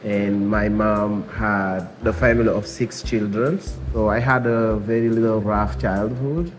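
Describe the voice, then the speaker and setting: A man from Senegal with a Senegalese accent, Wolof his first language, a restaurant owner and chef talking calmly about his food and life. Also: fairly low pitch, a little slow, slight background noise. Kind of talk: documentary interview, explaining his food.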